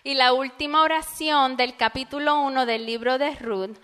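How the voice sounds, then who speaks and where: A woman interpreting a sermon phrase by phrase, quick and fluid, in a church. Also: sits high, steady tone, a bit bright, clear, resonant tone, in a large hall. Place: church sanctuary.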